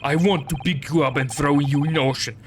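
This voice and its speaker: A man voicing a gruff, rude male ship guard with a Russian accent and clipped broken speech, for a game. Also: on the high side, fairly even intonation, a little fast, background noise, pitched below the performer's own voice, close to an everyday voice.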